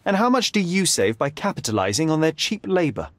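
A cool, wry young detective from a video game. He probes in measured, dry phrases. The voice is a touch high and moves expressively, recorded close and dry. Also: sing-song, a bit bright, dry recording. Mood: challenging. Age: young adult. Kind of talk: video game cutscene acting.

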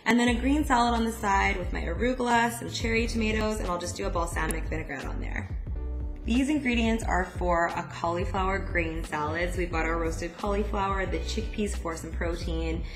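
A woman's voice: medium pitch, fairly quick. A woman in her thirties giving casual instructional narration as the host of a meal prep tutorial. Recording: echoey room with music underneath. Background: background music.

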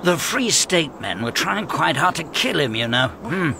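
An actor playing a genial, chatty magistrate in a radio drama, knowing and sly. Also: male voice, fairly high pitch, sing-song, unhurried, creaky, noisy background, full character voice. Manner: chuckling, knowing, conversational.

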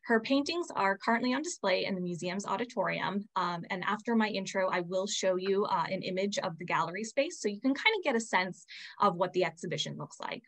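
A woman's voice: mid-range voice, expressive, a little fast. A young woman hosting for a museum, introducing a guest speaker over a video call with a friendly, filler-heavy delivery. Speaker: museum host. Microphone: laptop webcam mic on a video call.

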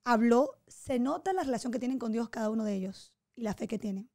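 A woman in her thirties hosts a podcast, talking in a fairly high voice. She is expressive and affirming, and she gestures as she speaks. She is close on a studio mic in a small home studio. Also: quick pace. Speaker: podcast host. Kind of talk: casual interview chat. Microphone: studio mic on boom arm, headphones.

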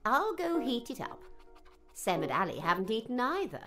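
A man's voice: very high-pitched, wildly swooping pitch, moderate pace, sharp tone, soft background music, pitched above the performer's own voice, exaggerated voice. A man voicing a motherly, welcoming woman with an affectionate, soothing manner in an audiobook. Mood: reassuring.

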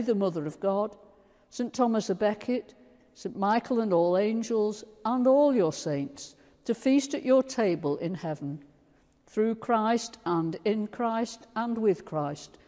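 Older english lady vicar saying communion prayers, mellow voice, solemn, big echoey church. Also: mid-range voice. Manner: solemn, measured recitation. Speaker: priest leading a communion service. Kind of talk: liturgical prayer. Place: large stone church, indoors. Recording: long church reverb.

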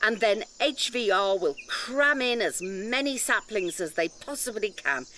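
A woman's voice: medium pitch, lively intonation, unhurried, pushed and bright, slight background noise. A woman playing an indignant nature-reserve campaigner in an audio drama, lecturing emphatically with pedantic detail and rhetorical questions. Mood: scornful.

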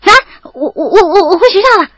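A woman playing a bashful young student in an audio drama, expressive and easily flustered. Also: very high voice, quick pace, dry recording. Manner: expressive, stammering when flustered. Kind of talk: audio drama acting with first-person narration.

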